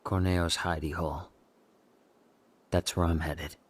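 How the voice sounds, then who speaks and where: An actor playing a cool, guarded underworld operator in a video game, terse and unruffled. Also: male voice, low voice, level tone, a little slow.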